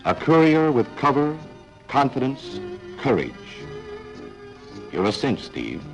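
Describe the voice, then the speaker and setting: A man narrating a 1940s training film in a dramatic, clipped style with deliberate pauses. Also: mid-range voice, wildly swooping pitch, rough recording. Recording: 1940s film soundtrack with faint music.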